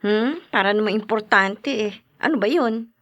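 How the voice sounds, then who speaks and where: A woman's voice: medium pitch, moderate pace, fairly bright tone, lo-fi sound, natural voice. An actress playing a flippant, snappy, defiant young mother in a Filipino audio drama. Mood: evasive. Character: brash, defiant young mother, uncaring sister. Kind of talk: Filipino podcast radio drama acting.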